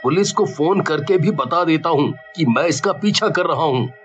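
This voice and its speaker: A man's voice: a little high, animated, normal speed, a bit bright, muffled recording, soft background music. A man playing a worried, determined young brother in a cartoon horror story, earnest and dramatic. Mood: suspicious.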